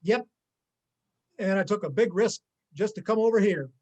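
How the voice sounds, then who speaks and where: A vengeful robber played by a man in an amateur play reading. His voice sits high for a man, and he talks in a halting, sneering, threatening way. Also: lively intonation, a little mellow, lo-fi sound. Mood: irritated, tense. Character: menacing, sarcastic male gangster robber, jumpy and vengeful. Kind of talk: amateur reader's theater acting.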